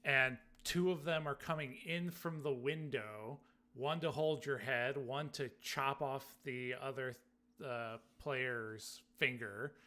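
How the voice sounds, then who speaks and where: Bright-voiced man, unhurried delivery. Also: mid-range voice, steady tone. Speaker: podcast co-host. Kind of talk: casual chat about video games.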